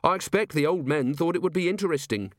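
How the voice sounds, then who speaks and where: A man's voice: on the high side, lively intonation, fast talker, fairly bright tone, no room sound, studio quality, pitched above the performer's own voice. A man voicing an earnest, polite young police officer in an audiobook, deferential and sincere. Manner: deferential, sincere, literal-minded.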